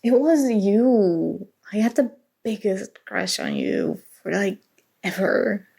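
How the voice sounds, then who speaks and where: A woman playing a shy, awkward college-age roommate in an intimate ASMR roleplay, speaking haltingly and nervously. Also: medium pitch, lively intonation, gravelly, dark tone, slightly rough, heavily acted. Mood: nervous confession. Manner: hesitant, halting, sighing.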